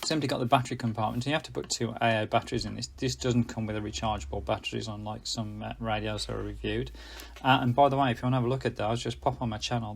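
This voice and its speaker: A man's voice: medium pitch, gravelly. A man presenting a casual hands-on gadget review in a rambling way, often correcting himself and getting slightly tongue-tied.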